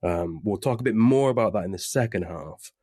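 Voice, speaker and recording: A man's voice: on the low side, lively intonation, fairly quick, mellow, breathy edge, no room sound, studio quality. A man hosting a casual podcast interview over a webcam call, chatty and loose with plenty of fillers. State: recovering from covid. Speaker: podcast host. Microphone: remote webcam call.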